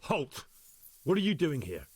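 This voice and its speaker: A man's voice: fairly high pitch, wildly swooping pitch, a little slow, slightly rough, heavily acted. An actor playing a steadfast young medieval man-at-arms in a video game, speaking directly and confidently. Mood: accusing. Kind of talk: dramatic video game acting.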